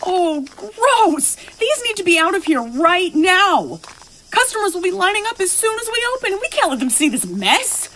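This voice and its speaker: A woman's voice: very high voice, very animated, normal speed, fairly bright tone, a little hiss or hum, full character voice. A woman acting in an audio drama as a stubborn, protective, bossy rancher boss after the apocalypse. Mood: exasperated, bossy.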